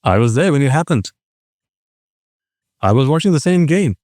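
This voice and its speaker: Sri male dharma teacher, mid pitch, questioning, dry close recording. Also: sing-song, normal speed, a little mellow, no room sound. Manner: rhetorical questions to the audience. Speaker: Buddhist teacher. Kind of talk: dharma talk.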